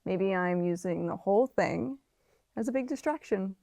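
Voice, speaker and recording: Actress for an anxious, self-doubting woman in audio drama, soft mellow tone with vocal fry, hesitant and deliberate. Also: medium pitch, lightly acted. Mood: anxious, apologetic. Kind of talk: theatrical monologue, audio drama.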